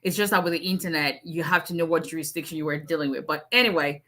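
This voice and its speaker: Fast-talking woman, Nigerian-Southern US accent. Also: low-pitched, pushed and bright. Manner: fast, chatty, with frequent asides and laughs. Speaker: immigration lawyer hosting a live stream. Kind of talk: casual live-stream chat.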